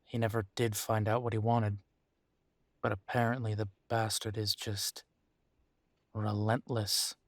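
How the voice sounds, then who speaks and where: A man playing a protective, weary but determined werewolf pack leader in an intimate roleplay audio drama, speaking deliberately with pauses. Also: on the low side, little pitch movement, dry recording. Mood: weary, uneasy.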